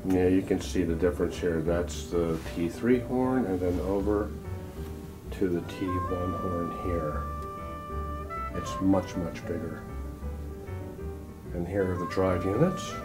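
A man's voice: low voice, takes it slowly, pauses often, over loud music. A man reporting and interviewing casually on camera at a trade show in a hotel room.

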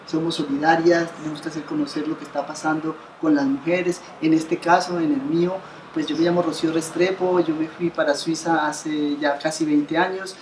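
A woman's voice: sits low, level tone, normal speed, noisy background. Earnest middle-aged woman, roomy room. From Colombia. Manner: earnest, many restarts and repeated words, gestures while speaking. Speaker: interview guest, association founder. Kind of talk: conversational interview. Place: bright living room by a window, indoors. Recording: roomy living room sound.

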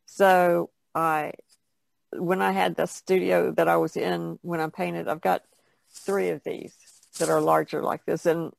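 Woman, heavy gravelly fry, casual painter's chat, loose trailing phrases, dry sound. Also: on the low side, dry recording. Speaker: painter, podcast guest.

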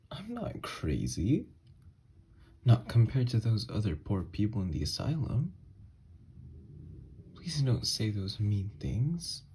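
A man voicing an obsessed, unhinged kidnapper scientist in an ASMR horror roleplay, soft and breathy, coaxing gently with quiet menace. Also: medium pitch, heavily acted. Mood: coaxing, hurt. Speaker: ASMR audio roleplay voice actor. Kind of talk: ASMR horror roleplay monologue, partly whispered.

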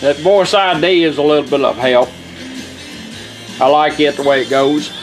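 Higher-voiced folksy man giving a demo. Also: normal speed. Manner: folksy, plainspoken. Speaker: vintage radio restorer demonstrating a product. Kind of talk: informal hands-on product demonstration. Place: indoor workshop bench. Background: music from the radio.

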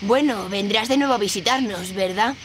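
A woman voicing an excitable, anxious boyish young fish in a video game, eager and urgent. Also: mid-range voice, moderate pace, a little hiss or hum, natural voice. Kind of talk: video game character acting.

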